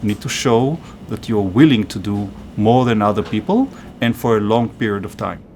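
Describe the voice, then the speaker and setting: A man's voice: lowish voice, animated, normal speed, darkish tone, slight background noise. A man speaking earnestly as a mentor in a documentary interview, giving emphatic advice. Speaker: physician-scientist mentor.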